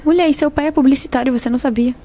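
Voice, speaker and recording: High-voiced young Brazilian woman hosting a podcast. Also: very fast, dark tone, a little hiss or hum. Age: young adult. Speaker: student podcast host. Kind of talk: casual podcast interview.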